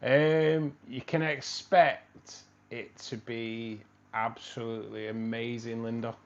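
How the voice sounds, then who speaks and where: A man's voice: mid-range voice, flat delivery, slow, vocal fry, highs cut off. A man hosting a live stream from a room with fish tanks, chatting casually and rambling, often repeating himself.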